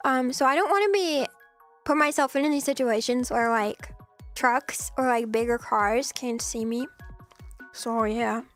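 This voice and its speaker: A young woman streamer chatting casually with viewers in a soft, giggly voice, her throat a little scratchy. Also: a little high, unhurried, soft background music. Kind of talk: casual chat.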